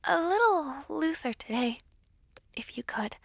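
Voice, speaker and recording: A gentle young princess played by a woman speaks in a high, breathy voice at an unhurried pace. She is modest and hesitant, choosing polite, formal words. The recording is very dry and close, in the manner of an audio roleplay. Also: sing-song, dry recording, full character voice. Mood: gently anxious. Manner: soft-spoken, hesitant, polite, formal diction.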